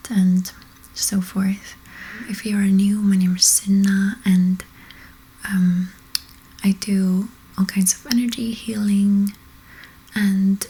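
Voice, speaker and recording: A woman speaking in a soft, breathy whisper as an ASMR host, gently and hesitantly guiding listeners to relax. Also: mid-range voice, rough recording. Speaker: ASMR host, energy healer.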